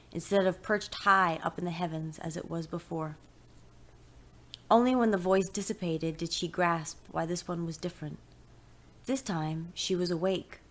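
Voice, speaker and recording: A woman narrates pulp fiction from a script. Her voice sits fairly low as she reads the prose of the story.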